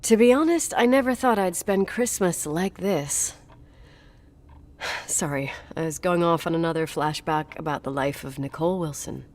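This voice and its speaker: A woman's voice: on the low side, normal speed, darkish tone, close to an everyday voice. An actress playing a strong-willed young woman in a video game, guarded and dryly teasing, haunted by her past. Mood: wistful, heavy. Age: young adult. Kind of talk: naturalistic video game voice acting.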